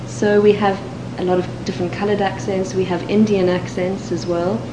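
A woman with a Cape Town South African accent, reading a set passage and then talking in a measured, thoughtful way with the odd um. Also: mid-range voice. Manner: measured and thoughtful, with occasional ums. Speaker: dialect archive speaker. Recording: echoey room with steady background hiss.

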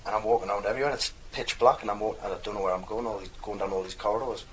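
A man's voice: fairly low pitch, fairly even intonation, rapid-fire, slight background noise. A young man from North East England with a mild Geordie accent, telling a loose, rambling anecdote in a casual recorded interview. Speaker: dialect archive speaker.

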